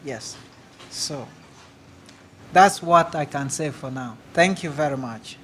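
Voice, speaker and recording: A man from Uganda with a Ugandan accent, answering audience questions in a halting, earnest way at a live speaking event. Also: fairly high pitch, a little hiss or hum. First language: a Ugandan language. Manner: earnest, halting, repeats words. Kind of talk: public speaking Q&A.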